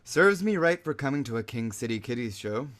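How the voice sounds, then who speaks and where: Man acting as cocky stage magician, bright forceful voice, brash, teasing, persuasive, easygoing, conversational table-read drama. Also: mid-range voice, sing-song, a little fast.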